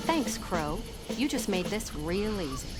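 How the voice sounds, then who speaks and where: A woman playing a confident, brisk secret agent with an assured, teasing manner in a video game cutscene. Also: medium pitch, sing-song, over loud music. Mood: pleased, focused.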